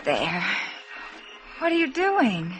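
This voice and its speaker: An actress playing a shy, earnest young working girl in love, in halting, intimate 1950s radio drama style. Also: on the high side, huge pitch swings, exaggerated voice, poor audio. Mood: shy, uneasy. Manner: soft-spoken, halting, wistful. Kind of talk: 1950s radio drama acting, intimate first-person narration. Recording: 1950s radio broadcast, music behind.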